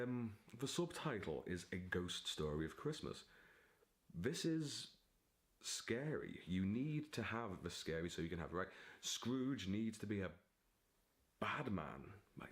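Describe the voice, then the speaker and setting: A man talking casually to camera as a vlogger and writer, in a theatrical, giddy, digressive manner. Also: low-pitched. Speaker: vlogger and aspiring novelist.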